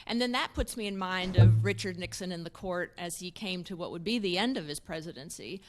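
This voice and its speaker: A woman thanking a lecturer and then posing a long, measured question. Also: fairly low pitch, soft background music. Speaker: moderator, questioner. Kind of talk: formal question from the floor. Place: lecture hall.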